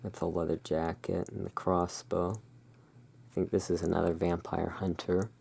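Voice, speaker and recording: A low-pitched, gravelly ASMR voice that moves very slowly through its descriptions. The delivery stays level and hushed, as a roleplaying shopkeeper muses over artwork. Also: level tone, a little hiss or hum. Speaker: host of a roleplay as a curiosity emporium owner. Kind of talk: ASMR roleplay, describing tarot card art.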